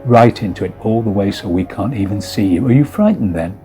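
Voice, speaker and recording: A man's voice: on the low side, expressive, brisk, mellow, faint music behind, natural voice. A man voicing a group of taunting older children in a goading, insistent manner as part of a ghost story. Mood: mocking.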